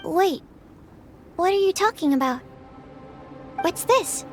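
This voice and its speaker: A woman's voice: extremely high pitch, expressive, takes it slowly, darkish tone, exaggerated voice. A woman playing an earnest, bookish young female alchemist in a video game, polite, fussily precise and easily flustered. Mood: flustered, curious. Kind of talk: animated game voice acting. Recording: music behind the voice.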